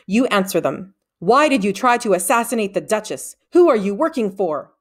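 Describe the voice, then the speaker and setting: A woman's voice: mid-range voice, sing-song, moderate pace, pitched above the performer's own voice. A woman voicing a stern, menacing female guard captain in a storybook reading. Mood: stern interrogating. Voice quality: soft, menacing.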